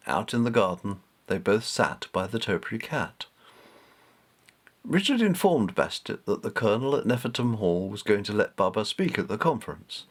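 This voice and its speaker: A man reading his own story aloud as narrator, in an expressive, measured storytelling manner. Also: on the low side.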